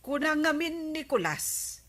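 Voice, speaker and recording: A woman's voice: high voice, big pitch swings, unhurried, a bit bright, full character voice. A woman playing a village captain's gossipy, easily astonished wife in a radio comedy drama, exclamatory, with an Ilocano accent. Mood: gossipy, concerned.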